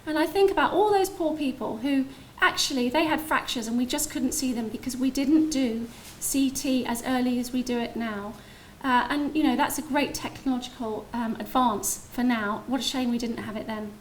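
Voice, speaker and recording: High-pitched woman giving a lecture. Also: slight background noise. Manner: conversational, sympathetic asides. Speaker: doctor lecturing on emergency radiology. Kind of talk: public medical lecture, conversational storytelling. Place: indoor public lecture venue.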